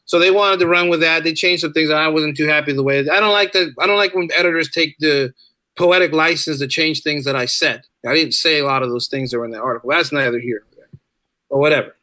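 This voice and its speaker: Give this a middle-aged man with a bright, forceful voice pitched a little high. He talks like a podcast guest, informal and chatty, circling back over phrases for emphasis. The sound is close and dry. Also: no room sound. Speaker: podcast guest, teacher and writer. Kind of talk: casual conversational storytelling.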